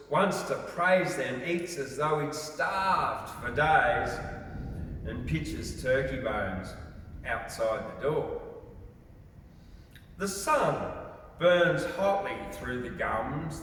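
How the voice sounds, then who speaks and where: Echoey man reciting poetry slowly. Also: medium pitch, a little hiss or hum. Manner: theatrical, expressive storytelling delivery. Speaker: poetry reader. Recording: very echoey, reverberant, distant from mic.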